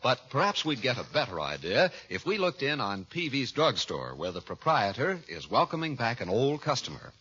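A man's voice: mid-range voice, sing-song, normal speed, few pauses, pushed and bright, slightly rough. A man working as a 1940s radio announcer, reading adverts and scripted narration with polished, emphatic salesmanship. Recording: dull vintage radio recording.

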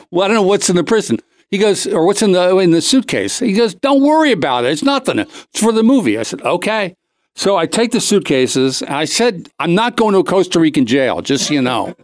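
A man's voice: a little high, no echo. A man hosting a talk show, telling a comic anecdote in a casual, rambling way, cutting himself off and acting out the dialogue. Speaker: show host.

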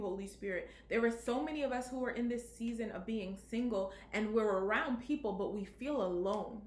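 A woman's voice: mid-range voice, slight background noise. Woman preaching in an echoey room. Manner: rhetorical questions with repeated "right?". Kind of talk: sermon on singleness.

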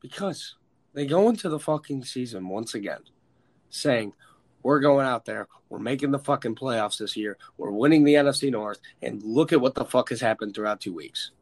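An American man hosting a casual, sweary sports chat, opinionated and emphatic, with a stuffed-up, slightly hoarse voice. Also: mid-range voice, lively intonation, moderate pace, a little mellow. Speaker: sports podcast host. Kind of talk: casual, profane football chat.

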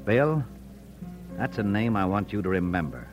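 An actor playing a wry, defiant American frontier editor with a folksy manner in an old radio Western. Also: male voice, lowish voice, sing-song, moderate pace, music in the background. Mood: folksy recounting. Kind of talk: old-time radio Western drama acting.

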